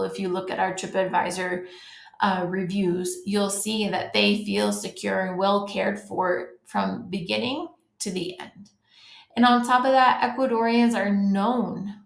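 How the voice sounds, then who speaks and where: A woman's voice: mid-range voice, creaky. A woman from the United States giving a friendly, informal webinar presentation to travel agents, with frequent ums and restarts. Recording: roomy echo.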